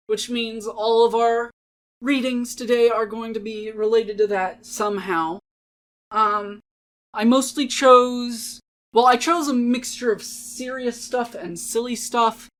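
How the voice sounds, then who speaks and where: A woman's voice: fairly high pitch, fairly even intonation, slow. A woman hosting a dramatic reading show, introducing it in a casual, chatty style.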